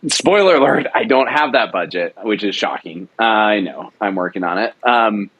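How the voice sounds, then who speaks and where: A man's voice: fairly low pitch, lively intonation, normal speed, fairly bright tone, slightly rough, low-quality recording. A man producer talking in an interview, enthusiastic and rambling, with many restarts. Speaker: radio drama producer. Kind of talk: casual interview talk.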